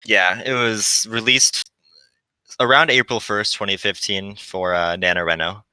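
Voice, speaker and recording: Male game developer, 20s, smooth resonant voice, close dry sound, relaxed conversational interview guest. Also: fairly low pitch, a little slow, no room sound, poor audio. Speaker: visual novel developer, guest. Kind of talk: casual chat about game development.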